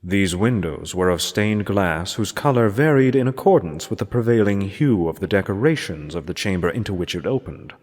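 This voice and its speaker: Deep-voiced man narrating in long reverb. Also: lively intonation, normal speed, few pauses, darkish tone. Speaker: amateur reader of a horror story. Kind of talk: dramatic scripted narration of gothic literature.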